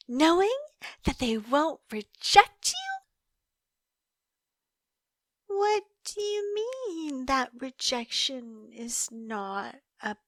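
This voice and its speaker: A woman playing an obsessive, lovesick young yandere in an audio roleplay, coy and halting, slipping between hushed asides and emotional swells. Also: sits high, no echo, clean studio sound, exaggerated voice. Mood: fervent, insistent. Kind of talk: audio roleplay monologue, intimate dramatic acting.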